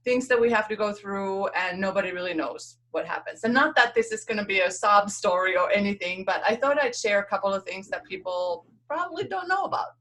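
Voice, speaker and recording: A woman's voice: medium pitch, lively intonation, fairly quick, hardly any pauses, a bit bright, poor audio. A woman from Finland, a native Finnish speaker, talking as a podcast guest in a reflective, conversational storytelling style with an earnest, lightly laughing manner.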